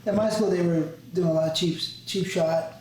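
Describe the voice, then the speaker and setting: A man's voice: high-pitched, fairly even intonation, moderate pace, a little mellow. A man interviewing a guest in a loose, profane way, telling stories with laughs. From Southern California. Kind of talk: casual conversational interview. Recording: very echoey room, faint noise.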